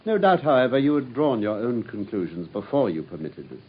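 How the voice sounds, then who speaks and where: A keen, observant detective with a clipped, wry delivery in an old radio play. The voice is slightly husky and moves lively through its pitch. The broadcast sound is dull and lo-fi. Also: male voice, fairly quick. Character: brisk sharp-minded male consulting detective. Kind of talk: radio drama acting.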